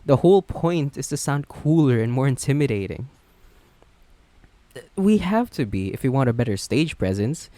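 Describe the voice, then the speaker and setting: A man's voice: mid-range voice, sing-song, normal speed, mellow, no echo, natural voice. A man playing a smug, flirty businessman supervillain, chatty and sardonic, in a romantic roleplay audio drama. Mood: playful, chatty. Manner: chatty, sardonic, self-assured.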